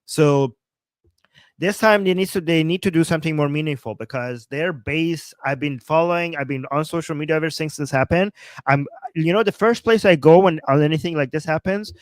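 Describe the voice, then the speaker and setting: A man with a Persian accent hosts a casual livestream. His voice sits fairly high, and it sounds dry and close. He talks in an excited, rambling way, breaking off and restarting his sentences. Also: fairly quick, no room sound. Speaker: political livestream host.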